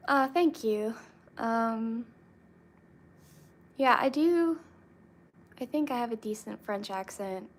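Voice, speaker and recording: A young woman chatting casually with her viewers, relaxed and reading comments aloud. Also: fairly high pitch, slow pace, pauses often. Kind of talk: casual chat with live chat.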